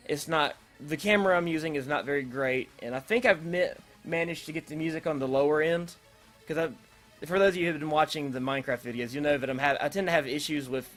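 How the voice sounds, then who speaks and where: A man's voice: a little high, fairly bright tone. A man making casual, unscripted announcements as host, rambling and hesitant, often rephrasing himself. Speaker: host of an online creative group's announcement video. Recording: music playing behind the voice. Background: electronic dance remix music.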